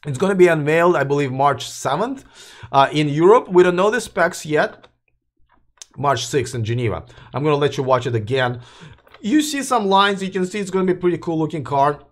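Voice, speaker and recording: A man hosting a live electric car news stream, chatting casually with viewers while his voice is going hoarse and he keeps coughing. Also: on the high side, lively intonation, fairly quick. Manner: rambling, restarts, addresses live chat.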